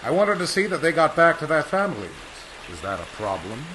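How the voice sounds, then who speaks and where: Man acting a proud, forceful, formal aviator. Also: on the high side, big pitch swings, fairly quick, slight background noise, poor audio. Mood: brisk. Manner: formal, old-fashioned diction. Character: proud male aviator home from three lost years, curt, formal. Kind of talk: 1940s radio acting.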